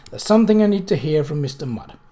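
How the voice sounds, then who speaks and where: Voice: mid-range voice, expressive, quick pace, mellow, natural voice. A reader voicing a kindly but bossy working-class woman cook with folksy, matter-of-fact speech in a story. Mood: kindly, curious.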